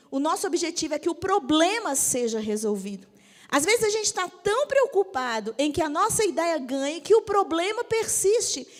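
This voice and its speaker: Bishop in her fifties with a Brazilian accent, high and lively, teasing the congregation in a reverberant church hall. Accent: Brazilian Portuguese. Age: 50s. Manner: lively, jokes with the audience, asks for agreement. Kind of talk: church teaching talk.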